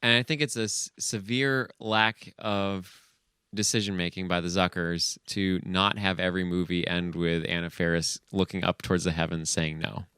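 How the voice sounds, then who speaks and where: A man's voice: low-pitched, flat delivery, moderate pace, sharp tone, clean tone, dry recording, studio quality. A man co-hosting a comedy podcast, bantering casually. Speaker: podcast co-host.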